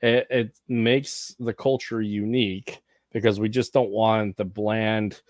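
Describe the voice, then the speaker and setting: A man with a fairly low voice hosts a relaxed podcast chat, making his points forcefully and dropping quick agreeing murmurs while his guest talks. The sound is close and dry, with little room around it. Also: no room sound. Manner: emphatic, opinionated, frequent backchannel murmurs. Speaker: podcast host. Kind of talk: casual podcast conversation.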